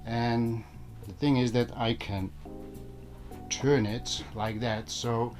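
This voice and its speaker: A man's voice: medium pitch, steady tone, slow pace, darkish tone. A man talking casually to viewers, explaining things in a conversational way as an audio vlogger. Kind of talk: casual vlog talk to viewers. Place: small room at home. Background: music playing.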